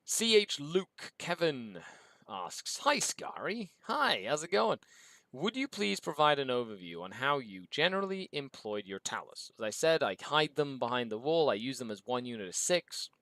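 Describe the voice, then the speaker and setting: A man's voice: medium pitch, very animated, moderate pace, fairly bright tone, no echo. A man from Mexico with an English accent, hosting a livestream and reading out viewer questions in an upbeat way before answering them. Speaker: show host.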